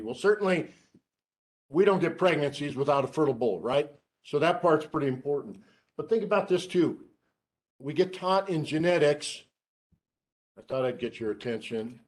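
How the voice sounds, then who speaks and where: A middle-aged man giving a seminar lecture to students, who calls his own voice monotone and drops dry joking asides while he asks the room questions. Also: mid-range voice. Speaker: research scientist giving a seminar.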